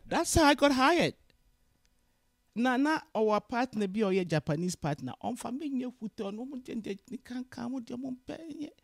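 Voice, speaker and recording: A woman's voice: on the high side, normal speed, creaky, dry recording, studio quality. A Ghanaian woman, a guest in an interview, who tells her story in a casual mix of English and Twi and acts out the dialogue with energy. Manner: switches freely between English and Twi, animated in retelling dialogue. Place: Canada.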